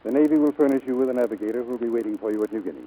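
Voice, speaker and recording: A man playing a commanding, formal US Navy admiral in a 1940s radio drama, speaking gravely and deliberately. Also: medium pitch, close to an everyday voice. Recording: muffled vintage 1940s radio recording.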